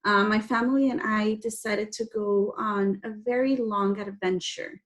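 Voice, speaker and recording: A woman's voice: mid-range voice, steady tone, measured pace. A woman from Guatemala whose first language is Spanish, telling her personal story to children in simple, explanatory phrases. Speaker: guest speaker telling personal story to schoolchildren.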